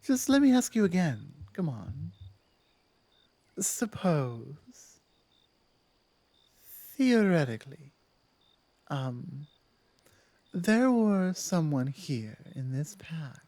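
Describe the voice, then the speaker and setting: A female werewolf pack leader, acted by a woman speaks in an intimate ASMR audio drama. She is earnest and deliberate, picking her words slowly and haltingly. Her authority has softened into careful sincerity, and the sound is dry and close. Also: low voice, sing-song, dry recording, exaggerated voice. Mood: earnest, probing, then hesitant, hopeful. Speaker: audio roleplay performer. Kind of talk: intimate ASMR roleplay acting.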